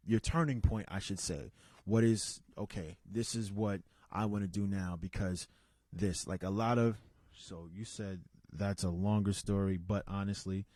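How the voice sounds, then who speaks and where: Guy hosting a chill podcast, lowish voice, dry close sound, rambles and restarts a lot. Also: dry recording. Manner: rambling and conversational, with many restarts and asides; reads out shout-outs to live listeners. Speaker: podcast host. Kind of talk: casual unscripted podcast chat.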